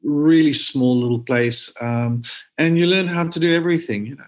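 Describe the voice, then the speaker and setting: A man with a South African accent, a rural doctor being interviewed, talking casually with many pauses and restarts. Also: a little high. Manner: hesitant, many ums and restarts.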